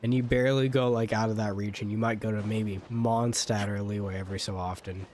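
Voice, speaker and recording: Low-voiced man streaming a game. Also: steady tone, darkish tone, slight background noise. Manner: rambling, repeats words haltingly. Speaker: game livestreamer. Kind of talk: casual unscripted gameplay chat.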